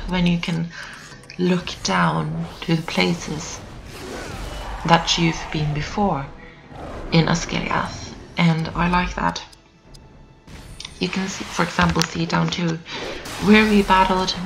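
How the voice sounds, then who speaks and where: A teenage girl doing casual gameplay commentary, hoarse from just waking up, chatty and repetitive with lots of fillers. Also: lowish voice. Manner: chatty, repeats herself, lots of "um" and "so". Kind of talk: casual let's-play commentary. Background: builders' noise outside.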